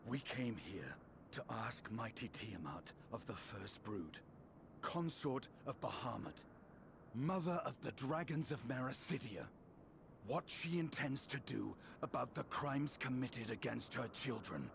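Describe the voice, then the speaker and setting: A man's voice: mid-range voice, animated, unhurried, creaky, noisy background. An actor playing a proud, stern elf man in a fantasy game, speaking in formal, theatrical oratory. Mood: challenging. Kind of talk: dramatic fantasy game acting.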